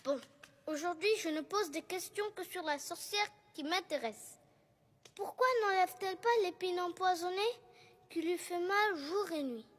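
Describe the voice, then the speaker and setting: Tiny cartoon boy, super high voice, bold and curious, big long reverb. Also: normal speed, fairly bright tone. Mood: determined. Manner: questioning, earnest, plain-spoken. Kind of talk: animated film dialogue.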